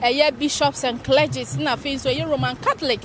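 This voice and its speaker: A woman's voice: high-pitched, steady tone, brisk, a bit bright, a little hiss or hum. A Ghanaian woman giving live event commentary as a media reporter, with a Ghanaian accent.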